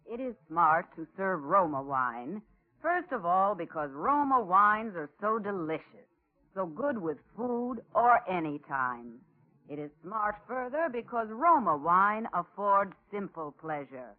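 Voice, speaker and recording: A man's voice: high voice, very animated, measured pace. A man delivering a scripted 1940s radio commercial in an emphatic, point-by-point way. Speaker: commercial pitchman. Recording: tinny 1940s radio recording.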